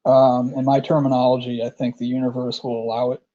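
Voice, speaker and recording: A man with a warm, dark voice hosts a loose, friendly interview. He circles back on his words and leans on fillers. The sound is dull and lo-fi. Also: medium pitch, fairly quick. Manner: relaxed, repeats words, many fillers. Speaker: podcast host. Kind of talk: casual interview chat.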